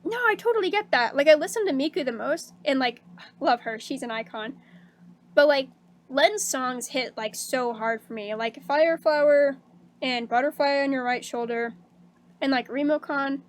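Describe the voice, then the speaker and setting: A woman streaming casually, chatting with viewers and laughing often, with a slightly hoarse voice. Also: high-pitched, normal speed. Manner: bubbly and chatty, laughs often, many fillers like "um" and "like". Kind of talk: casual stream chat reading out viewer messages.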